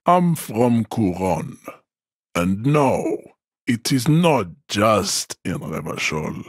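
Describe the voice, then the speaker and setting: A man's voice: on the low side, very animated, drawn-out words, breathy edge, dry recording, clean studio sound, heavily acted. An actor playing an imposing, arrogant supremacist strongman in a video game, lecturing in a pompous, formal, declamatory way with grandiose contempt. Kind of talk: video game character acting.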